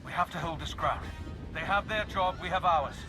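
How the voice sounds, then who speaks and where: A man acts as a resolute soldier holding the line, his voice pitched high and firm. It is urgent video game acting with music clearly behind. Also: moderate pace, natural voice. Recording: music underneath.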